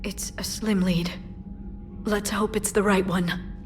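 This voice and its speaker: A woman playing a gentle, sober squadmate in a dramatic video game. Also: mid-range voice, fairly even intonation, slow, faint music behind, natural voice. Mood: cautious.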